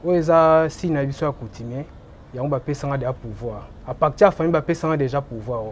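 A man from Boma in Congo, a traditional healer, explaining his remedies in an interview, repeating phrases and trailing off low. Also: quick pace, slight background noise. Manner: repetitive, emphatic, trailing off low.

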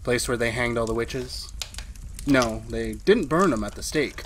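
A man's voice: mid-range voice, a little slow, natural voice. Man acting an uneasy, brooding radio drama husband. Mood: brooding confession. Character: haunted husband confessing a murder plan, uneasy academic. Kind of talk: retro radio drama acting, first-person narration. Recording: faint background noise.

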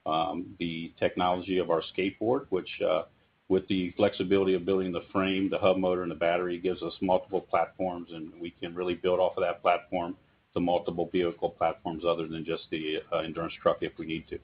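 Deep, monotone male executive. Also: brisk. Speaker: company executive on an earnings call. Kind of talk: formal business explanation. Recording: dull band-limited conference call.

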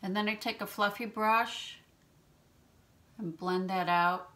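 A woman in her fifties hosting a makeup tutorial from a small room at home, explaining each step in a gentle, chatty way and clearing her throat now and then from allergies. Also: medium pitch, fairly even intonation, slow, a little hiss or hum. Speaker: beauty channel host.

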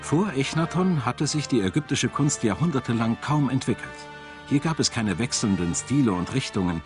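A man's voice: mid-range voice, expressive, normal speed. A man reading scripted documentary narration in German voice-over style. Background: background music.

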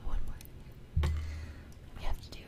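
Whispering young woman doing ASMR. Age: young adult. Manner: soft, unhurried whispering. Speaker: ASMR video host. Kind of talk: ASMR fantasy fire ritual roleplay. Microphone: close studio microphone on a stand.

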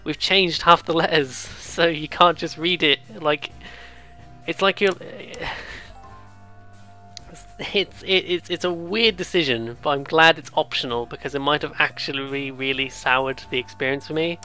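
A bright, forceful voice at a middling pitch gives casual let's play commentary over music. The delivery is rambling and excitable, drifting between reading game text aloud and jokey asides. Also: unhurried. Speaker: let's player.

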